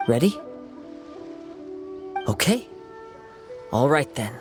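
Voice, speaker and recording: A man voicing a calm, courteous young wandering samurai in a video game. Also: mid-range voice, drawn-out words. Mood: composed. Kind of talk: English video game voice acting. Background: game music.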